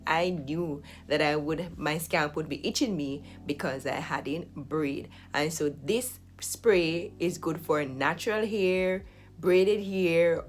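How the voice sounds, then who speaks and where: Low-voiced woman, slightly hoarse, chatty. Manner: chatty, explaining step by step. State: slightly hoarse, recovering from flu. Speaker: hair care vlogger. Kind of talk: casual tutorial talk to camera.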